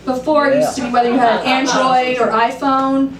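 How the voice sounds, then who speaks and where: A woman in her thirties doing a dry, self-deprecating stand-up set, sometimes shrill and laughing at her own jokes. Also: level tone, fairly quick, a loud crowd. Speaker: stand-up comedian. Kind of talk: stand-up set at an open mic. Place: comedy club stage. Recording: roomy, distant, noisy club recording.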